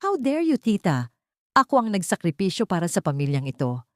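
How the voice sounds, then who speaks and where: A woman voicing a defiant, resentful mistress with a sharp, indignant manner in an audio drama. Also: medium pitch, very animated, fairly quick, no room sound, clean studio sound, lightly acted.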